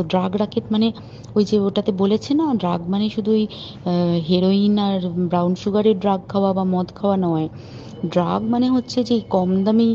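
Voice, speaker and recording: A woman's voice: mid-range voice, dark tone, slight background noise. A woman giving an emphatic, indignant, informal account as a caller on a recorded phone call.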